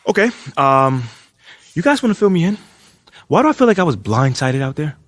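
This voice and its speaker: Young male hoops star, principled and cocky-casual, very expressive, closely recorded game dialogue. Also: a little high, brisk, no echo, studio quality. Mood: frustrated. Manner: casual, slangy, self-assured. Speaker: basketball player character. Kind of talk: video game cutscene acting.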